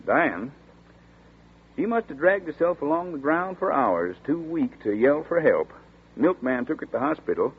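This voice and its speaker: A folksy gravelly country deputy. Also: male voice, fairly high pitch, very animated, heavily acted. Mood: storytelling. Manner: folksy rural dialect, chatty and slow-spoken. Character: folksy drawling small-town male deputy, middle-aged. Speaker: rural sheriff's deputy. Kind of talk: 1940s radio acting. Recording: tinny scratchy 1940s radio broadcast.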